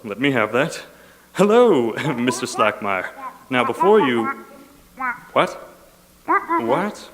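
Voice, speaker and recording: A man's voice: medium pitch, wildly swooping pitch, slow pace, pauses often, crowd noise. A man playing an exasperated, theatrical, blustering radio show producer in a stage comedy. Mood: flustered. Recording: echoey hall, noisy live recording.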